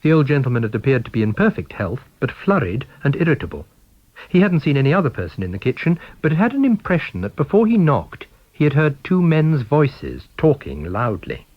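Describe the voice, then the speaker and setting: A British man narrates a story in a middle-pitched voice with very animated intonation. The sound is close and dry, slightly dull in the top end. He reads as a practised storyteller. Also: a little fast, no room sound. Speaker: audiobook reader. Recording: dull, band-limited recording.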